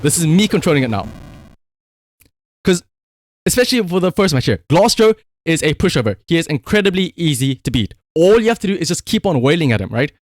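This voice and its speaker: A man doing casual livestream gaming commentary in an excitable, emphatic way, prone to shouting and swearing. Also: fairly high pitch, fairly quick, no echo. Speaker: live streamer.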